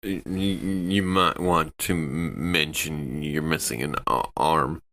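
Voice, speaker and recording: A man's voice: extremely low pitch, fairly even intonation, slow, sharp tone. A man playing a tabletop role-playing game, chatting casually as he describes his moves and reads out rolls.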